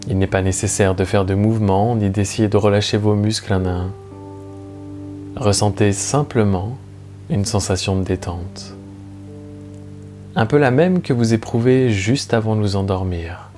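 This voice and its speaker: A low male voice leads a guided meditation. He speaks slowly and soothingly, leaving long, measured pauses between phrases. Soft plucked guitar music plays faintly behind him. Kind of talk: guided relaxation narration.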